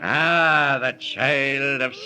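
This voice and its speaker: An actor playing a grandiose, menacing pagan cult leader, declaiming and scheming in 1930s radio drama style. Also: male voice, medium pitch, steady tone, drawn-out words, pushed and bright, exaggerated voice. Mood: sly, scheming. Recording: noisy 1930s radio transcription recording.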